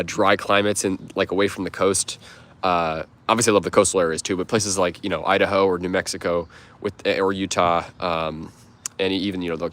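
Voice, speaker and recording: A man's voice: deep voice, fairly bright tone, slight background noise. Stuffy-nosed man rambling on a livestream. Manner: rambling, many fillers and restarts. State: stuffed up from a cold. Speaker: livestream host. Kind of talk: casual livestream chat.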